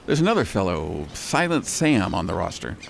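A man's voice: low voice, sing-song, normal speed, gravelly, a little hiss or hum, exaggerated voice. An actor playing an uneasy, suspicious colonial man trading gossip in a wry conversational way in an audio drama.

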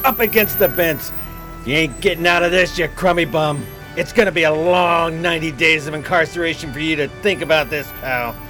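Man playing a gruff, higher-voiced cop. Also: fairly quick, bright, forceful tone. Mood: threatening. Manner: curt, threatening, slangy. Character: gruff, bullying New York beat cop. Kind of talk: radio play dialogue. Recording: music playing behind the voice.

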